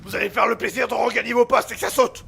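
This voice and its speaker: An actor playing a stern, authoritarian ship's captain who barks clipped orders in an audio drama, gravelly and sharp. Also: male voice, extremely high pitch, steady tone, fast, faint music behind, full character voice. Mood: furious.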